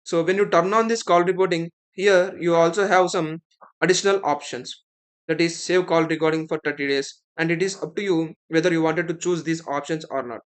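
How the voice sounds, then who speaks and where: A man's voice: a little high, steady tone, brisk, clean tone. A man with an Indian accent giving a step-by-step tutorial as an instructor, explaining and often checking in with the listener. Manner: explanatory, checks in with "right?" and "okay".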